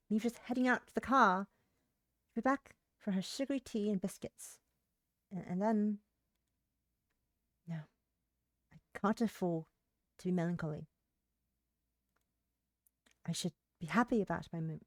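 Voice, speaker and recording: A woman's voice: medium pitch, no room sound, clean studio sound. A woman livestreaming a game, reading its story text aloud with casual comments and occasional stumbles over words.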